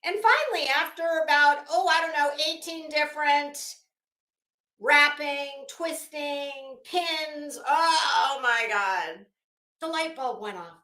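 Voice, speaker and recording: High, bright-voiced woman rambling on a livestream. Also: takes it slowly. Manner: rambling, self-interrupting, searching for words; dramatic, emphatic storytelling with self-quoting. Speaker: livestream host. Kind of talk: casual livestream chat. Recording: roomy, echoing room sound.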